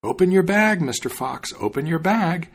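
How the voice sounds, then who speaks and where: A man voicing a modest, friendly female cat in a storybook reading, pitched up slightly, with a husky voice. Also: wildly swooping pitch, normal speed, heavily acted. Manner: polite, sweet.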